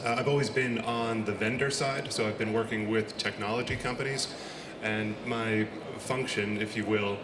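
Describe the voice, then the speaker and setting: A middle-aged American man with an American accent, speaking as a conference panelist in an earnest, steady way. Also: lowish voice. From United States. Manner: steady, earnest, a few restarts. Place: large conference hall. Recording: echoey hall with background noise.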